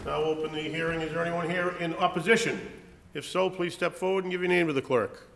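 A man's voice: fairly high pitch, fairly even intonation, quick pace, creaky, fairly bright tone, slight background noise. A middle-aged man speaking formally as a council president, running a public hearing by procedure. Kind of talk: formal procedural meeting talk. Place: large council chamber.

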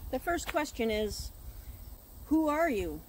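A woman's voice: high-pitched, big pitch swings, slow. A middle-aged Canadian woman whose first language is Quebec French, chatting casually to the camera as a vlogger outdoors. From Quebec, Canada, raised partly in Ontario. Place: outdoors in a field, yard and open shelter. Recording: outdoor ambience, clear background noise.

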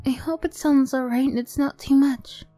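A woman acts out a young girlfriend in an ASMR roleplay, high and soft-toned. She is affectionate and chatty, with a tough streak under the sweetness. The sound is close and dry over background music. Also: fairly even intonation, normal speed, no room sound, natural voice. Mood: sweet, shy.

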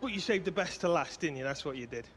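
A man's voice: on the high side, expressive, quick pace, fairly bright tone, slight background noise. A young man acting a cheeky, hapless professional footballer in a video game, rambling and matey. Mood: cheeky, evasive. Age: young adult. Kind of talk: naturalistic video game cutscene dialogue.

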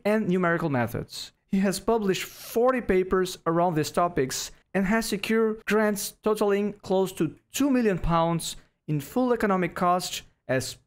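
High-pitched male podcast host. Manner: formal, reads bio at a steady clip. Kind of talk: interview with read introduction. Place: small home office. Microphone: studio microphone on boom arm.

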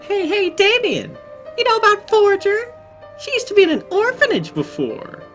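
A woman's voice: very high-pitched, huge pitch swings, normal speed, fairly bright tone, faint music behind, exaggerated voice. A woman voicing a dramatic, gossipy schoolgirl in a comic dub, girlish and exclamatory.

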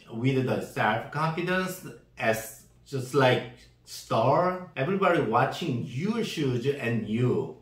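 Slow, halting Korean-accented man, echoey room. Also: medium pitch. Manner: halting, searching for words, many fillers and restarts. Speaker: shoe seller and customizer. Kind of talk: casual product demo. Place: indoor room, green-screen backdrop. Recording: roomy echo, distant mic.

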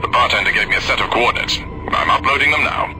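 A man voicing a steady, protective space pilot who speaks in a calm, formal way over radio chatter in a game. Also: mid-range voice, quick pace, loud music behind the voice, natural voice, poor audio. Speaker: wingman ally in a space game.

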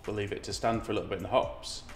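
A man's voice: fairly low pitch, fairly even intonation, racing. A man, around forty, a brewer explaining things in a documentary interview in a brick-walled room. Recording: roomy echo, music underneath.

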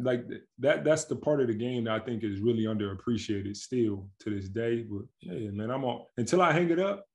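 A man in his thirties from Milwaukee, a pro basketball player, telling stories in a relaxed, slangy way on a video call from home. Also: mid-range voice, brisk. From Milwaukee, Wisconsin, USA. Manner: relaxed, slangy, animated storytelling with frequent laughs. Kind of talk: casual interview chat. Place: home with staircase behind, indoors. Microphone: video call webcam.

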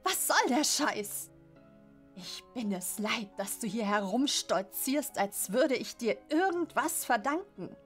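A woman's voice: a little high, big pitch swings, slow pace. A woman playing an angry, indignant mother scolding her teenage child in an audio horror story. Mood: exasperated, scolding. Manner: indignant, confrontational. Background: music.

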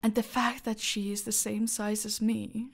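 A woman playing a smug, menacing female cartel boss in an audio roleplay, speaking deliberately and haughtily, often dropping into breathy near-whispers. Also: mid-range voice, level tone. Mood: smug, threatening. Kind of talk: dramatic audio roleplay monologue addressed to a captive.

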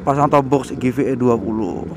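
A young man chatting excitedly in a casual riding vlog, recorded on a helmet camera while on a motorbike in the city. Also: mid-range voice, normal speed. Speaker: motovlogger. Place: on a motorbike on city streets, outdoors. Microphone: helmet-mounted action camera. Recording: loud wind and traffic noise.

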